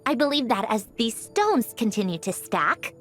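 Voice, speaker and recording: High, expressive mechanical puppet girl researcher. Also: moderate pace, soft background music. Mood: pensive, melancholy. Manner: theatrical, musing, expressive. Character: female mechanical puppet researcher, curious, haughty, matter-of-fact. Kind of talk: scripted video game character monologue.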